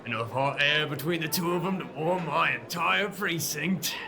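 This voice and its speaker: A bumbling bobby. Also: male voice, fairly high pitch, normal speed. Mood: chatty. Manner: folksy, easygoing, chatty. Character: kindly bumbling London police officer, adult man. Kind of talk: audio drama acting. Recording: clear background noise.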